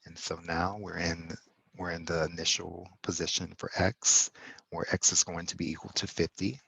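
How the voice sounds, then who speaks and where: Very deep, gravelly, flat-voiced man. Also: dry recording, rough recording. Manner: halting, self-correcting explanation with fillers. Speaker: instructor. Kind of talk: screen-recorded coding tutorial.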